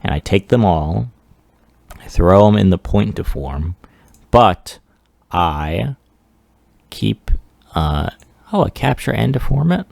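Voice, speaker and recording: Guy with gravelly voice slowly talking thru his 3d project, offhand, kinda self-deprecating. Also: lowish voice, big pitch swings, a little mellow, no echo. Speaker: 3D artist presenting a project. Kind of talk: casual software demo walkthrough.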